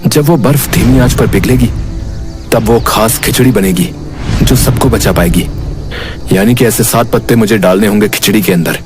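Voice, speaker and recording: An actor playing an earnest, anxious thin young villager in a cartoon moral story, exclaiming and muttering to himself imploringly. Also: male voice, lowish voice, moderate pace, lightly acted. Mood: earnest, resolute. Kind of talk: animated Hindi moral story voice acting. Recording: loud music behind the voice. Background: music.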